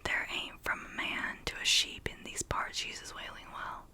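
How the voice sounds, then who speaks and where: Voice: measured pace, pushed and bright. A whispering reader doing an old shepherd. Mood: grave. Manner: whispered storytelling voice. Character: old rustic male shepherd, grave and superstitious.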